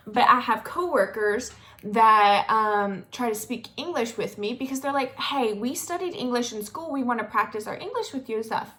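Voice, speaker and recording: A woman's voice: fairly high pitch, bright, forceful tone. A young American woman from Florida chatting casually to camera, with lots of fillers and asides. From Florida, United States. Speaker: vlogger.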